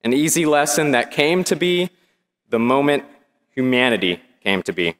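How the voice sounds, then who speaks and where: A young man, giving his student graduation speech in an earnest, measured way with wry humor. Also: mid-range voice, very animated, a bit bright. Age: young adult. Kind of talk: prepared commencement speech. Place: large indoor arena. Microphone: podium microphone. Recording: long hall reverb.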